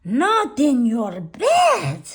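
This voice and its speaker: Woman as small, high-pitched indignant chihuahuas. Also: lively intonation, slow pace. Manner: exaggerated complaining.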